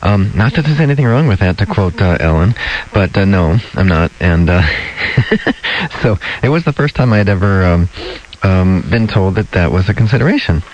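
A soft-spoken man, a dentist hosting a casual interview podcast, talking gently with many ums and restarts. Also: low voice, animated, moderate pace. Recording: lo-fi with faint noise.

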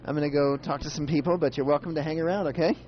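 A man's voice: fairly high pitch, steady tone, very fast, highs cut off. A man hosting a casual roadshow interview as a dentist, chatty and repeating answers back. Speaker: host and dentist.

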